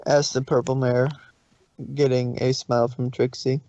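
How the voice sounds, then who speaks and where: A woman's voice: very deep voice, little pitch movement, moderate pace, warm tone, dry recording, lo-fi sound. A woman reading scripted story narration as the narrator of a fan fiction.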